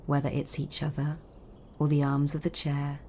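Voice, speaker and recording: A woman narrating a guided body scan meditation in a calm, soothing, measured manner with long pauses. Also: deep voice, a little hiss or hum.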